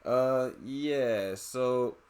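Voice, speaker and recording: Slow, hesitant Finnish-accented man. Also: mid-range voice, a little mellow. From Finland. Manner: hesitant, many restarts and filler words. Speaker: let's play host. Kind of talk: casual commentary while playing a video game. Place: at home playing on a TV. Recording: game music playing underneath. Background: video game music.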